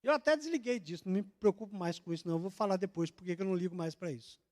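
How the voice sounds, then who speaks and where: A male pastor from Brazil with a notably high voice, preaching in a church. He swings from loud, stressed declarations to fast, hushed asides, and he answers his own questions to the congregation. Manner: swings between loud emphatic declarations and quick, low confiding asides; asks the congregation questions and answers them himself. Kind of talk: sermon. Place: church sanctuary.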